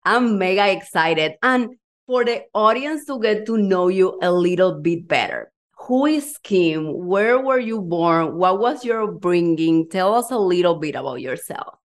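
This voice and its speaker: A woman's voice: on the low side, lively intonation, unhurried. A woman hosting a podcast as a coach, interviewing a guest in an enthusiastic, effusive way. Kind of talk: warm interview chat.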